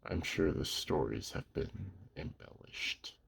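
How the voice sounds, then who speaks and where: A man playing a gruff, lonely old werewolf in an intimate ASMR roleplay, weary but gentle, speaking slowly and hushed with a husky, gravelly voice. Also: rumbling low, lively intonation, exaggerated voice. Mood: wry, reserved. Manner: slow, hushed, with long pauses.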